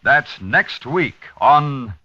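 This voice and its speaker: A man's voice: medium pitch, lively intonation, extremely slow. A man announcing a radio show in a dramatic 1950s broadcast style. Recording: muffled vintage radio broadcast. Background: music.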